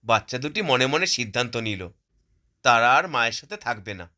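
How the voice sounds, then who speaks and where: A man's voice: medium pitch, expressive, moderate pace, bright, forceful tone. A man narrating a scripted cartoon story as the storyteller.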